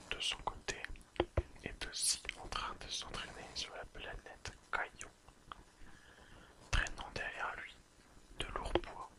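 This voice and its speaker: A person whispering an ASMR episode recap in a careful reading manner. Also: low-quality recording. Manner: careful reading, apologises and corrects slips. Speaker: ASMR video creator.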